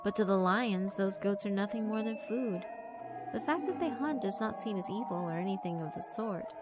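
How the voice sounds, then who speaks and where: A woman's voice: medium pitch, moderate pace, close to an everyday voice. A woman voicing a sweet, persuasive female figure who praises the bullies' cruelty. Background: background music.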